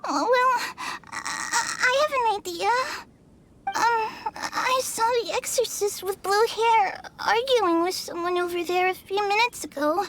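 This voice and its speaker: A woman's voice: very high voice, unhurried, fairly bright tone, slight background noise, low-quality recording. A woman voicing a timid little ghost girl in a video game, hesitant and stammering as she pleads. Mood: hesitant, hopeful.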